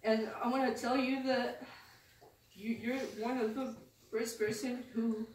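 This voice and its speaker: Slow, halting teenage girl from the Philippines. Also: on the high side, fairly even intonation, a little mellow, far from the mic. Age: teens. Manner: halting, hesitant, with many restarts. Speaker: student speaker. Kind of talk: personal testimony speech. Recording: echoey room, mic from afar, faint noise.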